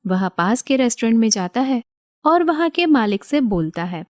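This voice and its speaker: A woman with a fairly high voice reads a scripted story as narrator for a cartoon tale. The recording is close and dry, with almost no room sound. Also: very animated, moderate pace, no echo.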